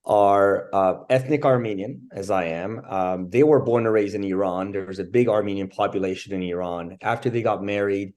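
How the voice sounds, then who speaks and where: A man in his forties from France, a guest telling his life story in a casual interview, pausing often with fillers. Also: fairly low pitch, a little mellow. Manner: thoughtful, many pauses and fillers. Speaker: interview guest, bench press champion.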